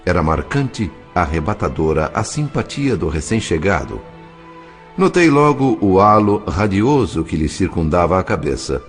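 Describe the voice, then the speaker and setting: A man with a deep voice reads a novel as scripted dramatic narration. His delivery is measured, literary and expressive. Soft music plays faintly underneath. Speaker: audiobook narrator.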